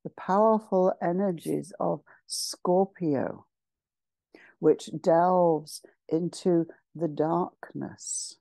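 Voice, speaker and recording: A woman leading a guided meditation as a channeled spiritual address, solemn and ceremonial, speaking in short measured phrases with pauses. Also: fairly low pitch, fairly even intonation, creaky, mellow, dry recording.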